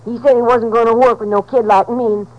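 A woman's voice: on the high side, steady tone, quick pace, a little mellow, lo-fi sound, close to an everyday voice. An actress playing a sullen, resentful, uneducated girl delinquent with a rural Southern accent, in 1950s radio drama style. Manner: folksy ungrammatical drawl. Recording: old 1950s radio recording.

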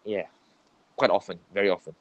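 A man's voice: low voice, fairly even intonation, a little fast, dry recording, dull audio. A young man with a Malaysian accent, co-hosting a casual podcast chat and dropping "lah" into his sentences. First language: Tamil. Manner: chatty, repeats words, drops in "lah". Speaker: podcast co-host.

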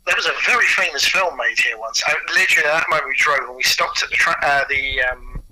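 A man being interviewed, chatting casually through anecdotes in a halting way, often repeating words as he starts a sentence. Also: mid-range voice, bright, forceful tone, breathy edge, poor audio. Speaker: interview guest, audio producer and writer.

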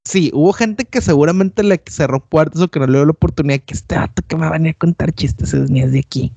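A man talks through his views in a slangy, reflective chat and hedges his points as he goes. His voice sits a little higher than most men's. It is recorded dry, with no room sound. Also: quick pace, creaky, warm tone, no room sound. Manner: slangy, reflective, hedging with fillers. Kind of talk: casual chat.

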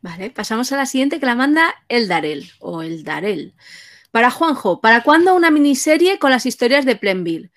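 A woman's voice: a little high, sing-song, moderate pace. A woman casually reading out audience questions as an interviewer.

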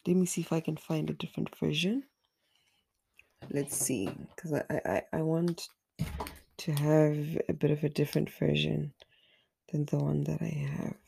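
A woman with a hoarse, raspy voice from a flu, earnestly leading an online Bible study and sometimes repeating words as she searches for the next one. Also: low voice, steady tone, moderate pace, pauses often, warm tone, slight background noise.

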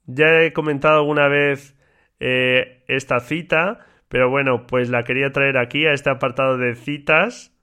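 A man hosting a solo podcast in a relaxed, chatty way with many fillers, his voice slightly stuffy and congested from a cold. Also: mid-range voice, normal speed, smooth. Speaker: podcast host. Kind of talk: casual solo podcast talk.

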